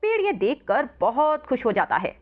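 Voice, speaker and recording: A woman's voice: sits high, sing-song, moderate pace. A woman narrating a scripted children's story as storyteller, on a narrow phone-like recording.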